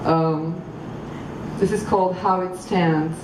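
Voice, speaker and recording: Soft, low-voiced woman reading poems outdoors. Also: fairly even intonation. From Croatia. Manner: halting, thoughtful spoken intros, measured reading. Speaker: poet reading at a public event. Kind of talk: public poetry reading. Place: outdoor public venue. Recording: echoey, far from mic, street noise.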